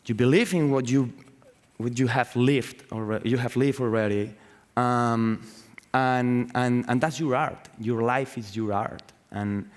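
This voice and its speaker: Spanish guy giving a loose sweary talk on stage, big reverby hall, kinda halting english. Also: mid-range voice. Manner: sweary, halting, searching for words. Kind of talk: informal conference talk. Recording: echoey hall with long reverb.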